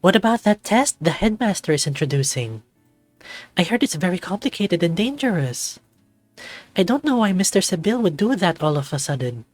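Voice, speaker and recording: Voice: medium pitch, big pitch swings, normal speed, no echo, faint music behind, voice pushed up. A performer, a man, voicing an anxious, doting mother in a furry visual novel, fussing and motherly. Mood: worried. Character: anxious, doting furry mother fretting over her son. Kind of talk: visual novel character reading.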